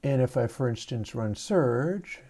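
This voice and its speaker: Male livestream host, soothing and mellow, easygoing explainer on a lav mic. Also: mid-range voice, measured pace. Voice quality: soft, soothing voice. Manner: relaxed, rambling, explains gear step by step. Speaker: livestream host and audio developer. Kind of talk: casual livestream demo. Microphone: lavalier mic.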